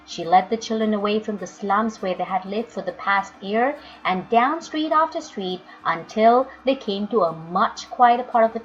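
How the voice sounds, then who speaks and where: A woman storyteller reading a children's book aloud with expressive, dramatic, emphatic phrasing. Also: mid-range voice. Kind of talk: expressive children's audiobook narration. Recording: dull lo-fi audio, music underneath.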